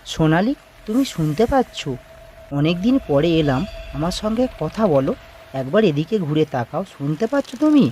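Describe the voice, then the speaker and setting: A man's voice: fairly high pitch, sing-song, normal speed, warm tone, a little hiss or hum. A man voicing an earnest, eager village son back from city work in a cartoon story. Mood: pleading.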